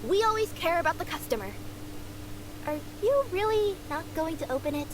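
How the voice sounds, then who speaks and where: A woman's voice: very high voice, expressive, normal speed. A woman voicing a young, polite, easily flustered cat-spirit courier girl in a video game, earnest and prone to stammering. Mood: nervous, flustered. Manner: earnest, stammering when nervous. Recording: game capture with clear background noise.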